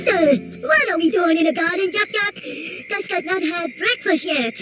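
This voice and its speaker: An actor voicing a chubby, slow-witted, good-natured male cartoon mouse with simple, hesitant, childlike grammar. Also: extremely high pitch, music in the background. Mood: puzzled. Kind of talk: animated film dialogue.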